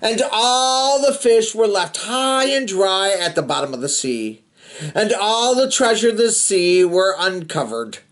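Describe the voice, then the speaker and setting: Male storybook reader, highly animated pitch, playful and expressive, drops into casual asides for kids. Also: unhurried. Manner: playful, expressive, chatty asides to young listeners. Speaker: storybook reader, host of a read-aloud show. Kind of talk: animated read-aloud of a children's picture book with casual asides.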